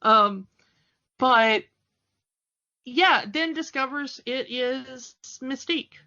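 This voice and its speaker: Bright-voiced woman podcaster, unhurried pace. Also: high voice, lo-fi sound. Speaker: podcast co-host. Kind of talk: casual chat recapping a TV cartoon.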